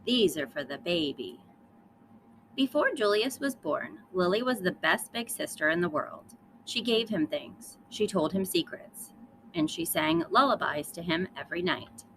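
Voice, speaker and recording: Lady teacher doing a kids book read aloud, really lively expressive voice, bit of noise in back. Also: on the low side, normal speed. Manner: addresses young listeners directly, emphatic storytelling. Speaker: third grade teacher reading aloud.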